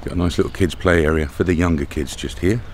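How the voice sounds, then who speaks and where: A man's voice: low voice, quick pace. A middle-aged British man chatting casually to camera as a travel vlogger, outdoors at a campsite. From Britain. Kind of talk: casual vlog chat to camera. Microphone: camera at arm's length. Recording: outdoor camera audio with background noise.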